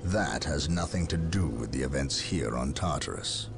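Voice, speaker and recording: A gravelly, stern war captain. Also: male voice, a bass voice, animated, over music, exaggerated voice. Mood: resolute. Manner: grave, measured, gravelly commanding delivery. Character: stern male space marine captain, brooding and resolute. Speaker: soldier. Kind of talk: video game cutscene acting.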